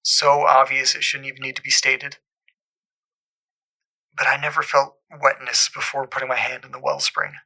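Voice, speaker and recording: An actor playing a troubled caller in an audio drama, haltingly and earnestly recounting an eerie supernatural memory. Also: male voice, medium pitch, little pitch movement, gravelly, sharp tone, breathy edge, full character voice, poor audio. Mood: earnest wonder.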